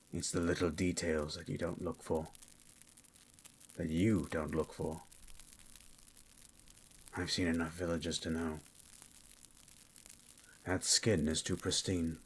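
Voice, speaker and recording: A man playing a grim, menacing monster hunter for hire in a fantasy ASMR roleplay, speaking in a terse, deliberate, coldly questioning way. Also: a little hiss or hum, poor audio. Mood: grim resolve. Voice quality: husky, very low.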